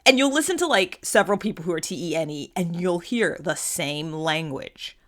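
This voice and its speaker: A woman's voice: lowish voice, expressive, normal speed. A woman giving a casual, unscripted explainer as a host, conversational, often checking in with the listener and voicing imagined quotes. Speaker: host of a personality typology show.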